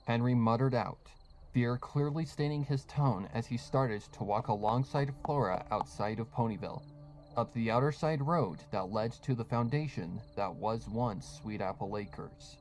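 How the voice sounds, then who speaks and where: A man's voice: mid-range voice, moderate pace, darkish tone, slight background noise. Male narrator, scripted horror story, night insects faintly buzzing behind him. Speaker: narrator of a fan fiction reading.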